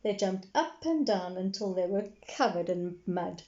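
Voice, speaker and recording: A woman reading a children's story aloud in an expressive style, addressing her young listeners directly. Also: mid-range voice, a little slow, highs cut off.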